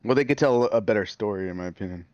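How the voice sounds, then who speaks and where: A man's voice: fairly low pitch, rapid-fire, a little mellow, no echo, highs cut off. A man taking part in a casual podcast chat, easygoing and enthusiastic. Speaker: podcast guest.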